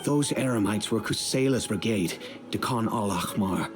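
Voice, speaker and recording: An actor playing a grave middle-aged father and head of a noble family, speaking in a measured, solemn, storytelling way over game music. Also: fairly low pitch, natural voice.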